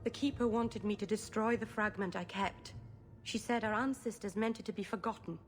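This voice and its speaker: Earnest, gentle young elf woman voiced by an actress. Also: mid-range voice, steady tone, fairly quick, faint music behind, natural voice. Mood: earnest, defensive. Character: earnest young female elf mage, gentle, naive, stubbornly hopeful. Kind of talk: dramatic video game acting.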